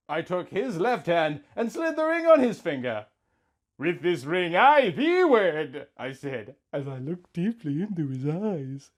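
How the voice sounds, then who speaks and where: A man in his thirties reading a story aloud in a melodramatic, mock-sentimental comic style, swinging between hushed and loud. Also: fairly high pitch. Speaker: comic host reading out fan fiction.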